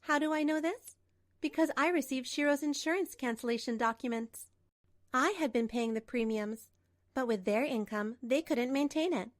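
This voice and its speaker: A woman playing a composed betrayed wife in a manga dub, narrating and speaking with measured, pointed delivery. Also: high voice, no echo, studio quality, natural voice. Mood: reflective. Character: betrayed wife, composed, coolly resolute, narrating her story.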